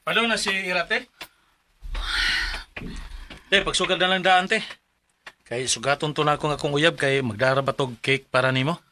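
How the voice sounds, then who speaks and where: A man's voice: a little high, normal speed, pauses often, pushed and bright, a little hiss or hum. A man acting an exasperated, caring younger brother in a radio drama, with a Cebuano Filipino accent. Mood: curious, surprised. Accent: Filipino, Cebuano-speaking. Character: exasperated, protective younger brother, hot-tempered but caring.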